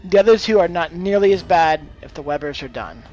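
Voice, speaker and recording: A man's voice: on the high side, expressive, a little fast, a little mellow, muffled recording, soft background music. A man giving casual live gaming commentary and tips, thinking aloud as he plays. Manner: hesitant, thinking aloud, exclaiming at the game. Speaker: game streamer.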